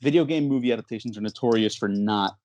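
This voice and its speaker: A man's voice: mid-range voice, fast, a little mellow. A man hosting a casual movie podcast, talking in a chatty, enthusiastic, rambling way. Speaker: podcast host.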